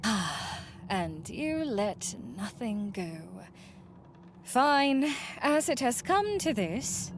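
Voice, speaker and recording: A woman's voice: a little high, big pitch swings, drawn-out words, slight background noise, full character voice. A woman playing a wistful, doting old friend in a visual novel, speaking in florid, archaic phrases. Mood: resigned, intense.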